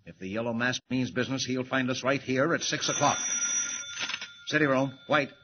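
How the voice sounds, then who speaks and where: An actor playing a brusque, worried middle-aged newspaper editor in a 1940s radio serial, barking orders. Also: male voice, mid-range voice, moderate pace, heavy background noise, natural voice. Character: gruff harried male newspaper editor. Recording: dull lo-fi old radio broadcast.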